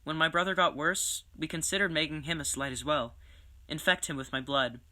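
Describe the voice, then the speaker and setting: A woman playing a tired young Slight in hiding who speaks softly and tentatively, often sighing. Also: low-pitched, steady tone, brisk. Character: weary young Slight on the run. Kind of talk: amateur audio drama acting.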